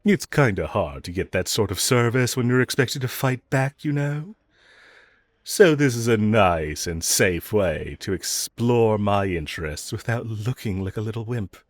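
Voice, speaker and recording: A man acts out a flirtatious male villain in a romantic audio roleplay, very low and husky, recorded close and dry. He teases and provokes his hero rival, taking his time with slow, drawn-out lines that swoop playfully. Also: lively intonation, dry recording. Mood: smug, flirtatious. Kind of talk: romantic audio roleplay acting addressed to listener.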